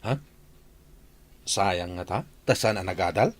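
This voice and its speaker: Guy doing a nosy regretful pal, deepish husky voice, radio comedy drama. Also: unhurried. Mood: curious.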